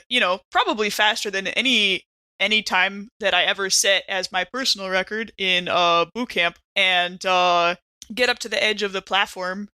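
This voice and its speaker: Woman, bright forceful voice, mid pitch, dry recording, casual gaming talk. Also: smooth, dry recording. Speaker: player on an actual play podcast. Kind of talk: casual tabletop gaming chat.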